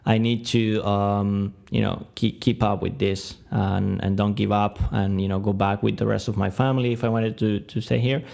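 A man's voice: on the low side, fairly even intonation, normal speed, gravelly, hardly any pauses, darkish tone. A man of twenty-nine from Argentina with a Spanish-speaking accent, giving reflective interview answers and often searching for words. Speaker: podcast guest.

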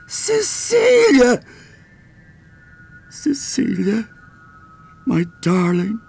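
An actor playing an anguished, frightened and remorseful old father in a radio play, halting and pleading. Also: very high-pitched, wildly swooping pitch, gravelly, darkish tone, slightly rough, soft background music, full character voice. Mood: grieving.

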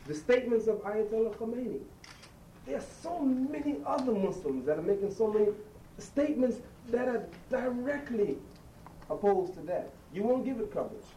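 Earnest speaker, mellow and roomy. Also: mid-range voice, animated, moderate pace. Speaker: panel speaker at a press conference. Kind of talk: impassioned public appeal. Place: press conference room. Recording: roomy, distant mic, faint noise.

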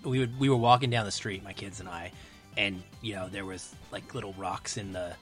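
A man's voice: lowish voice, steady tone, brisk. Man chatting casually over faint music. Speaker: podcast guest. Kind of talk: casual film discussion.

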